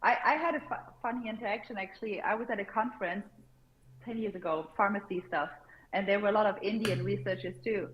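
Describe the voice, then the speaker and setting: A chatty woman, guesting on a casual interview show. She talks freely, laughs often and keeps her answers quick, in a voice of middling pitch. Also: muffled recording, a little hiss or hum. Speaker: guest, pickleball content creator.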